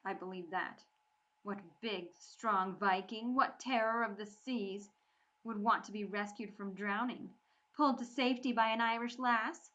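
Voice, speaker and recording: A woman voicing a defiant young Irish girl who answers with loud, scornful outbursts. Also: medium pitch, slow pace, muffled recording.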